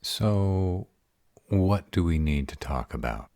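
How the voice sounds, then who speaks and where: A man's voice: low voice, lively intonation, takes it slowly, mellow, dry recording, studio quality. A man acting a sarcastic, baffled vampire in an audio roleplay drama, wary and exasperated, with a casual, sweary manner. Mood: confused, wary.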